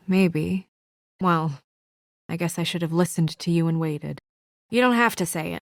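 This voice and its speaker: An actress playing a brisk, guarded young female office assistant in a noir video game drama, speaking in a crisp, businesslike, dry manner. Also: fairly low pitch, no room sound, natural voice. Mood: resigned.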